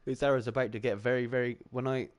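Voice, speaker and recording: Male streamer host, casual and rambling, average pitch. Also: flat delivery, rapid-fire. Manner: laid-back, rambling, lots of "like" and "dude". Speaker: channel host on a live round-table stream. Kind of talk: casual chat.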